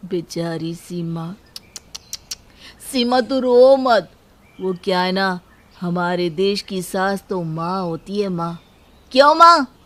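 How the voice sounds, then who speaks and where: महिला द्वारा निभाया भोला, शेखीबाज़ जवान लड़का. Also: female voice, fairly low pitch, animated, takes it slowly, long pauses, fairly bright tone. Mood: protective whining. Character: whiny, naive, boastful young man devoted to his bride. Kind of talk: animated moral story.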